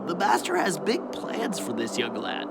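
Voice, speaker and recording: A man's voice: a little high, sing-song, normal speed, creaky, heavy background noise. A man playing an officious, self-important angel squad leader who talks formally and wordily in a family audio drama. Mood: businesslike, huffy. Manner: pompous, formal, wordy.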